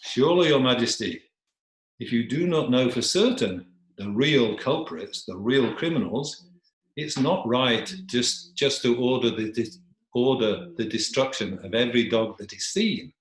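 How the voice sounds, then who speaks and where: A man's voice: mid-range voice, a little slow, echoey room, natural voice, low-quality recording. A man voicing a wise, bold storybook dog who courteously and formally admonishes a king. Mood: polite challenging.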